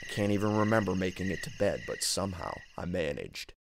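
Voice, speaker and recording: A man narrating a scripted first-person horror story in an expressive, suspenseful storytelling manner. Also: low voice, fairly quick, creaky, background noise. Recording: music bed under narration. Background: background music.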